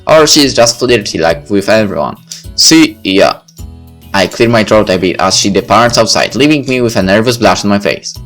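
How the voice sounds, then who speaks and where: A man's voice: fairly low pitch, lively intonation, fairly quick, hardly any pauses, fairly bright tone. Man narrating a story over faint music. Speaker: video creator reading a visual novel aloud. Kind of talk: first-person story narration.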